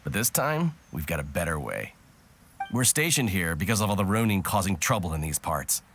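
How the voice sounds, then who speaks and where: Game soldier: deep, direct, gruff veteran keen for a fight, military delivery. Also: male voice, big pitch swings, normal speed, a bit bright, slight background noise, heavily acted. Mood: challenging. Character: blunt, deep-voiced male soldier, battle-eager veteran. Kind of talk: video game voice acting.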